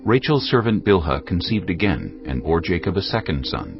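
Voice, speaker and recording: Deep, expressive male Bible narrator. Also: normal speed, creaky, lo-fi sound. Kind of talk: scripted audio Bible narration. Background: music.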